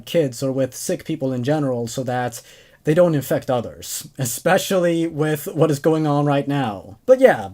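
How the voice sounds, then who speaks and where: A man's voice: a little high, warm tone. A man in his twenties hosting and narrating horror stories, recorded indoors on a desk microphone. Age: 20s. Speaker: host narrator and reviewer. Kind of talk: reading horror stories aloud with commentary. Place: small dark room indoors. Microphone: desk microphone on a boom arm.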